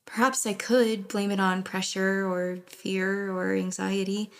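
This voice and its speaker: A woman's voice: mid-range voice, little pitch movement, measured pace, lightly acted. A young woman acting as a wistful, regretful, hesitant young woman in an audio drama narrated in the first person. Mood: reflective, uneasy. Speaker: lead actress and narrator.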